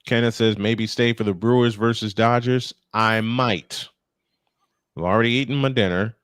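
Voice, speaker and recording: A man's voice: fairly low pitch, steady tone, moderate pace, a bit bright, clear, resonant tone, no echo, clean studio sound. A man doing live baseball play-by-play, folksy and chatty as he banters with co-hosts. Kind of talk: live sports commentary with casual banter.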